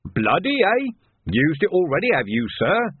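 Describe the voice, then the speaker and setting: A phone-like, narrow recording holds a man acting out a stolid police sergeant with a husky voice. He plays dim on purpose, deadpan and mock-polite, an unshakable copper from an audiobook. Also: fairly high pitch, normal speed, dry recording, voice pushed up, full character voice. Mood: feigned innocence. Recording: narrow band, phone-like sound.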